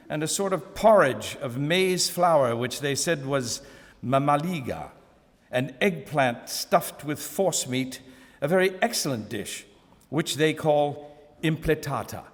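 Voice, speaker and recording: A man reading a classic novel aloud at a live museum event, in a measured, expressive first-person narration. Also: a little high. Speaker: reader. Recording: long hall reverb.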